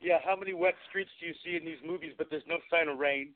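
A man's voice: on the high side, fast talker. A man talking casually as a special effects artist being interviewed. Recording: tinny phone line.

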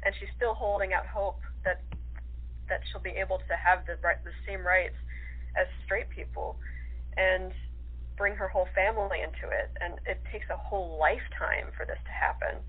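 A woman's voice: lowish voice, fairly even intonation, normal speed, breathy edge. Woman chatting over a tinny phone line. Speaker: radio-play actress, interview guest. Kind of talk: casual interview chat.

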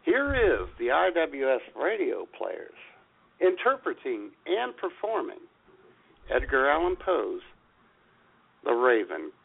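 A man with a raspy, gravelly voice hosting a comedy radio show, talking casually and announcing with mock grandeur. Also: mid-range voice, huge pitch swings, slow pace, long pauses. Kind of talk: casual introduction of a comedy segment. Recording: thin phone-like band.

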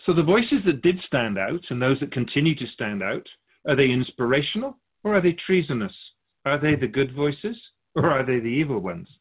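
A man playing a wry, lively spirit keeper. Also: mid-range voice, husky, narrow, tinny audio. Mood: musing. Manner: measured, teasing, rhetorical questions. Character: wise, wry otherworldly male keeper of souls. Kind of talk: scripted philosophical dialogue.

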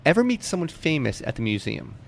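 A man's voice: fairly high pitch, big pitch swings, fast talker, gravelly, slight background noise. A man hosting a casual podcast interview, speaking informally and emphatically. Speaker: interview host. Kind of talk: casual sports-fan podcast chat.

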